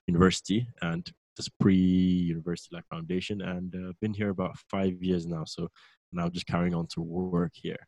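A young man from Nigeria, a newly qualified physiotherapist, talking as a guest in a relaxed, rambling way and laughing often. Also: low voice, normal speed, vocal fry, dry recording, poor audio. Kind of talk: casual interview chat.

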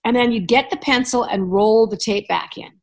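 Chatty woman host telling animated stories. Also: on the high side, normal speed, vocal fry. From Canada. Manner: chatty storyteller, animated asides and laughs. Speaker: podcast host. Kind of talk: casual chat.

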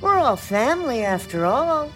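An actress playing a chatty, folksy great-aunt who is pushy and slyly over-friendly in an audio drama. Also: female voice, high voice, very animated, normal speed, music in the background. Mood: folksy, coaxing. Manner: chatty, insistent, theatrical.

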